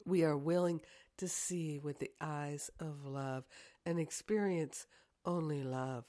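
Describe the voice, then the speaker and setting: A woman with a hoarse, croaky voice from a cold, leading a slow, earnest guided prayer full of affirmations. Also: low-pitched, fairly even intonation, dry recording, studio quality. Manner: soft, slow and earnest, repeating "grateful and thankful". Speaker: spiritual teacher and podcast host.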